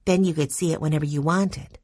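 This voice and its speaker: A gentle young girl in a children's story, played by a woman. She speaks earnestly and politely in a slightly husky voice. The sound is close and dry. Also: low voice, fairly even intonation, a little fast, no echo. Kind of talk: children's audiobook character voice.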